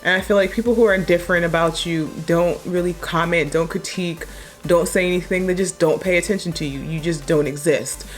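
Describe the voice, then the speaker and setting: A young woman with a deep voice chatting casually as a makeup vlogger, low-key and chill but blunt when she makes a point. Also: steady tone, brisk, faint music behind. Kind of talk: casual chat while doing a makeup tutorial.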